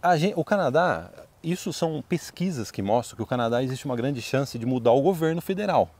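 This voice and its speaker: Fast, animated Brazilian man hosting. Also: mid-range voice. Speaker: video host and study-abroad adviser. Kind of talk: casual two-host chat with promotional pitch. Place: outdoors in a wooded residential neighbourhood.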